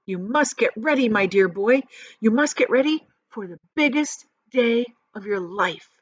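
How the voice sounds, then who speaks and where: A woman voicing an excited old grandfather in a storybook reading, shouting rapid orders. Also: a little high, no echo, lo-fi sound.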